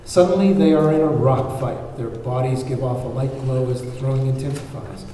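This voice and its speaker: A man's voice: mid-range voice, moderate pace, warm tone. A man reading out scene directions as narrator at a screenplay table read. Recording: very echoey hall, far mic, faint noise.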